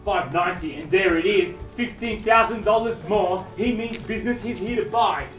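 Brisk Australian auctioneer calling bids outdoors. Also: male voice, high-pitched, fairly bright tone. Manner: fast persuasive bid calling, punchy repetition. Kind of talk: live property auction calling. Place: outdoor driveway of a house. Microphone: far camera. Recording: echoey, distant, with music bed.

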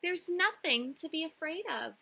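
A caring mother turtle from a storybook, voiced by a woman, sounds soothing and attentive. The voice is high and bright with animated rises. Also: moderate pace, creaky, heavily acted. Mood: reassuring.

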